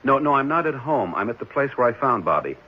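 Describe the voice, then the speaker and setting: A man's voice: mid-range voice, sing-song, fast talker. A man playing a concerned, thoughtful and somewhat puzzled ordinary man in a 1970s radio drama, speaking conversationally. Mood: concerned, obliging. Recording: dull, slightly noisy 1970s radio recording.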